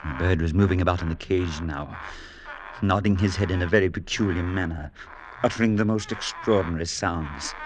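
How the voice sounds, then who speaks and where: A deep-voiced, earnest priest. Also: male voice. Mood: solemn. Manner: measured storytelling, anxious in dialogue. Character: male priest, earnest and skeptical. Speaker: priest and narrator. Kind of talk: 1950s radio drama narration.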